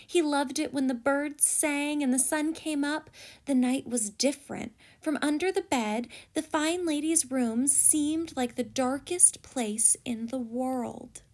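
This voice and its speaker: A woman reading a children's storybook aloud as a teacher would, with expressive, deliberate storytelling. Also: high-pitched.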